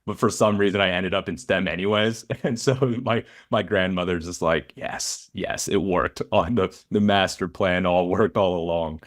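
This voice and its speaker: Low-voiced man hosting a podcast. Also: fairly even intonation, moderate pace, few pauses. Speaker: podcast host and interviewer. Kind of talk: casual podcast interview with scripted intro.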